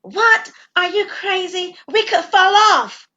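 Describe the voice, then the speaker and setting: A woman's voice: animated, slow, sharp tone, lo-fi sound, heavily acted. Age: young adult. Figure: a woman playing a timid, fearful college girl. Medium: audio drama. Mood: panicked. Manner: high-pitched, anxious, shrieks when scared.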